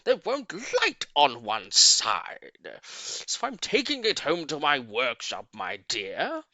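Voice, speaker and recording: Casting: sly, mean storybook villain, played by a man, drawn-out gloating delivery, forceful tone, wildly swinging pitch. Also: wildly swooping pitch, no room sound, heavily acted. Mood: falsely sweet.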